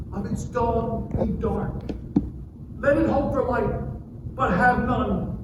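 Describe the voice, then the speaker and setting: An afflicted, despairing man from a scripture drama, acted by a man, lamenting in a solemn declamation. The delivery is very slow and high, ringing through a very echoey space. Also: far from the mic. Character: afflicted man in anguish, cursing his birth. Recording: very echoey hall from afar, noisy.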